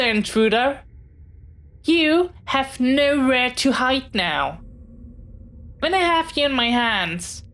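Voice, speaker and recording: A woman acting in a scripted audio roleplay as a bookish, dreamy young pirate, jumpy but earnest, speaking haltingly and dramatically straight to the listener. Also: fairly high pitch, exaggerated voice. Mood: startled, mock brave. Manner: dramatic, halting, swinging from theatrical threats to sheepish chatter.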